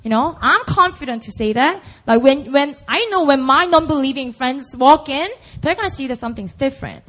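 Young woman, Korean accent, preacher, high voice, chatty and emphatic sermon delivery. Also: expressive, fairly quick, fairly bright tone. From Busan, South Korea. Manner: chatty and emphatic, full of "like" and "right?". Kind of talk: conversational sermon.

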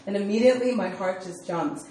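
A woman's voice: mid-range voice, brisk, dark tone. A young American woman from Virginia, a campus ministry director, giving a casual testimony-style sermon full of "like" fillers and easy laughter. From United States (Virginia). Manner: chatty, lots of "like" fillers, laughs easily. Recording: echoey hall with faint room noise.

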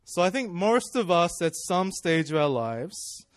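A man's voice: high voice, very animated, a little slow, dry recording, clean studio sound. A man, preaching in a chatty, self-deprecating storytelling way that often breaks into laughs. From Australia. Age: 30s. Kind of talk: personal storytelling sermon.

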